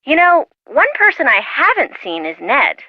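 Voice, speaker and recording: A woman's voice: high-pitched, huge pitch swings, moderate pace, dry recording. An actress playing a perky, flippant young woman, a teasing friend in a video game, heard through a telephone filter. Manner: playful, teasing. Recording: muffled lo-fi telephone-style sound.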